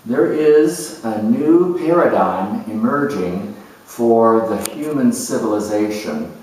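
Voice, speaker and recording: A serene, high-minded male visionary, dramatized by a man speaks solemnly and with measured reverence. The delivery is that of an idealist on stage. It echoes heavily, heard a little way from the microphone. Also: lively intonation, a little mellow. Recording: echoey room, distant microphone.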